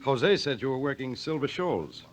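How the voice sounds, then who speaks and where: Animated, ingratiating American actor as a pushy diver. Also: male voice, medium pitch, moderate pace, lightly acted. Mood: probing. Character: friendly, pushy male diver angling to join in. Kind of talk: 1950s radio drama acting. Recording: vintage 1950 radio broadcast.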